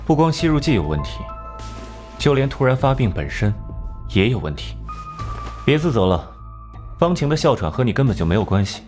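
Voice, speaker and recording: A man playing a composed, sharp-tongued genius detective in an animated crime drama, measured and condescending. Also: medium pitch, music in the background, lightly acted. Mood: firm, urgent.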